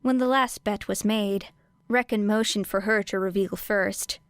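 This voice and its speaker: Clear-voiced woman narrating fiction. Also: medium pitch, steady tone, unhurried, dry recording, studio quality. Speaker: audiobook reader.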